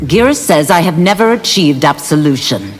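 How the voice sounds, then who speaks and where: An actress playing an earnest, burdened demon warrior seeking redemption, speaking gravely and formally in a video game. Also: female voice, low-pitched, lively intonation, normal speed, a little hiss or hum. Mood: earnest, resolute.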